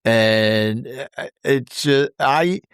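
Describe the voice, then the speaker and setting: A man's voice: medium pitch, very slow, clear, resonant tone, no room sound, studio quality. A man hosting a podcast interview, chatting casually and offering supportive, affirming responses. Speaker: podcast host.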